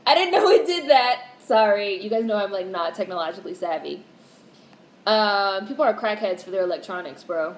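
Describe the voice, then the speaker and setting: A young woman in her twenties hosting a casual livestream, talking in a monotone way, candid and swearing casually as she reads out comments. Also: mid-range voice, a little fast. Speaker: livestream host. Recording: roomy echo with faint background hiss.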